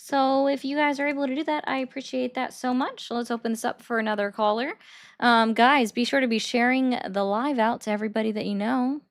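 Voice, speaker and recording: A woman with a fairly high voice runs a call-in livestream. She talks bluntly and argues hard with her callers. She is quick to mock them. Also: fairly even intonation. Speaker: livestream host taking callers.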